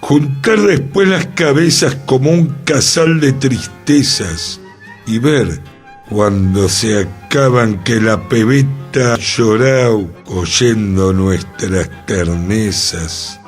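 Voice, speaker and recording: A man reading a 1920s Buenos Aires drinker who recites rhyming verse about tango slowly and with emphasis. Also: lowish voice, faint music behind. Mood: declaiming.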